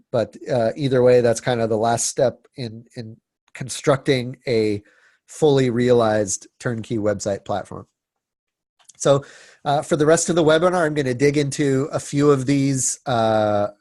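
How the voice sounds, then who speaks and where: A man's voice: medium pitch, a little slow, a little mellow, no echo, clean studio sound. A man presenting a webinar, stuffy and sniffling from a cold, talking casually with many fillers and restarts. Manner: filler-heavy, frequent restarts and repeated words.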